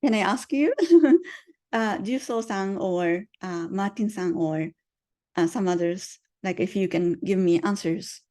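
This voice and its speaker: A woman's voice: medium pitch, unhurried, darkish tone. A woman presenting to an online audience on a video call, hesitant with many fillers, often asking the audience questions.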